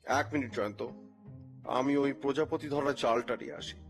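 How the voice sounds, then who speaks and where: Man acting as courteous, plaintive old gentleman naturalist, music behind. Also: mid-range voice, fairly even intonation, moderate pace, close to an everyday voice. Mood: polite. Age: older. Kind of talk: dramatized audio story dialogue.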